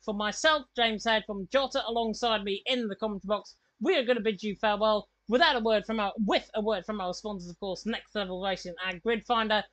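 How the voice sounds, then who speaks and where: A woman commentating on sim racing, brisk and emphatic as she signs off. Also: mid-range voice, fairly bright tone, no echo. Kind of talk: broadcast sign-off commentary.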